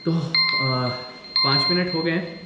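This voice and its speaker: A man's voice: on the high side, slow pace. A young man with an Indian accent giving informal instructional tips in a casual vlog, switching between two languages. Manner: informal, explanatory. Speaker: vlogger giving acting tips. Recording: echoey room with background noise.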